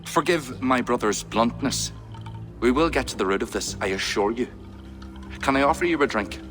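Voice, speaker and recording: A smooth, scheming king voiced by a man speaks in a mid-range voice, polished and placating while giving nothing away. Faint game music sits quietly under him. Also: mid-range voice, lively intonation, moderate pace, close to an everyday voice. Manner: polished, evasive, diplomatic. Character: smooth, scheming middle-aged male Irish king. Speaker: game character. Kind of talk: video game cutscene acting.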